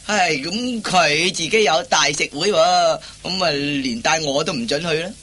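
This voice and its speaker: An actor playing a timid, henpecked husband in a radio comedy, stammering and repeating himself. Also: male voice, a little high, moderate pace, pushed and bright. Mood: worried. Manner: stammering, hesitant, repeats his words. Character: henpecked, timid, flustered husband and office worker. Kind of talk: Cantonese radio comedy acting.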